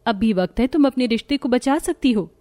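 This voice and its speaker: A woman's voice: on the high side, expressive, fairly quick, dark tone, lightly acted. A woman playing a humble, devoted, long-suffering wife in a cartoon story, earnest and pleading in her delivery. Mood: gentle, advising.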